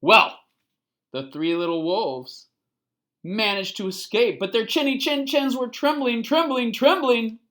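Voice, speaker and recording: A picture book reader giving an expressive children's read-aloud, pausing to explain words simply and ask little check-in questions. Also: mid-range voice.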